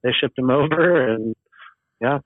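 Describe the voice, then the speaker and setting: A man's voice: mid-range voice, a little slow, dry recording. Laid-back male guest from Oregon, chatty storyteller, narrow phone-band audio, dry. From Eugene, Oregon, USA. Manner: easygoing, laughs often, rambling storyteller. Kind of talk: casual podcast chat. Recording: tinny phone-like remote line.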